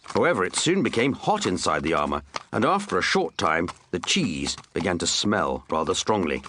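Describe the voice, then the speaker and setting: A man's voice: low-pitched, sing-song, moderate pace, sharp tone, slight background noise. A man narrating a comic children's story with dry, wry asides. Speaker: audiobook narrator.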